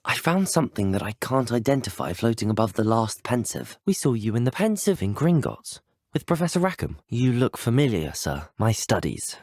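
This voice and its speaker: A courteous, inquisitive teenage schoolboy wizard from a video game, with a British accent. He speaks earnestly at a medium pitch with very lively intonation, in a dry studio recording. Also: normal speed, no room sound. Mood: earnest, polite. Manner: courteous, earnest, well-spoken. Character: polite, inquisitive teenage male wizard student. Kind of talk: scripted video game dialogue lines.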